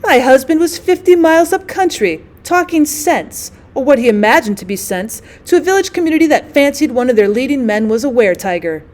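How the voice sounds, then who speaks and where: A woman's voice: sits high, animated, brisk, few pauses, a little mellow. A woman voicing a genteel, indignant, drily witty lady who tells her mishaps in a wordy, emphatic way for a story reading. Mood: drily haughty.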